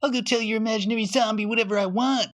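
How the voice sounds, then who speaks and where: A man's voice: high voice, steady tone, racing, voice pushed up, natural voice. A man voicing a jeering young neighborhood bully boy, sneering and taunting, in a children's storybook reading.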